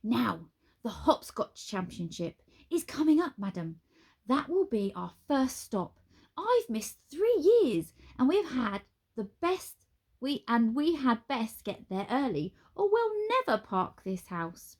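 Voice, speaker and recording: A theatrical old wizard , put on by a woman reading aloud, swoops up high and gushes with delight. He is grand and overly polite, and the voice has a gravelly edge. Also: very animated, slow, a little mellow, full character voice. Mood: grandiose. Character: flamboyant excitable male wizard, ancient and theatrical.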